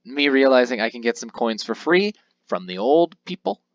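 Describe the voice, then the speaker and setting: A man's voice: mid-range voice, expressive, moderate pace, creaky, dry recording, lo-fi sound. A young man casually commenting on his playthrough of a handheld game, rambling and poking fun at himself. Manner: rambling, self-deprecating, reads game text aloud in voices. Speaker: let's play host.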